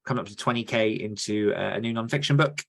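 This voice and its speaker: Low-pitched man chatting casually. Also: fast, gravelly. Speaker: podcast co-host and author. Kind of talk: casual podcast chat.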